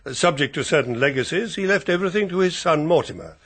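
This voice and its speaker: An actor playing an earnest, proper family solicitor in a radio drama, with a formal, precise, slightly hesitant lawyerly manner. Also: male voice, a little high, lively intonation, fast talker, fairly bright tone. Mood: formal, businesslike.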